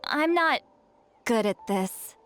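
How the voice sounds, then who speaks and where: A woman's voice: fairly high pitch, animated, takes it slowly, a bit bright, slight background noise. An actress playing a proud, reclusive, ageless shaman woman in an anime game, awkward and guarded, with sighs and hesitations. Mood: melancholy, guarded. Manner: flustered hesitations and sighs, wistful.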